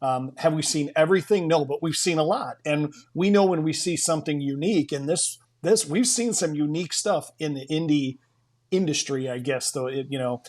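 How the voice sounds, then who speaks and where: A man's voice: on the high side, normal speed, darkish tone. A man co-hosting an interview show in casual chat, rambling and circling around his point before landing it. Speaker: interviewer, podcast co-host.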